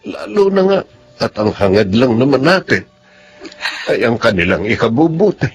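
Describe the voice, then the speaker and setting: An actor playing a stern but caring, devout Filipino uncle in a radio melodrama, speaking earnestly. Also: male voice, mid-range voice, big pitch swings. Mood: consoling, earnest. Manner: earnest, measured counsel.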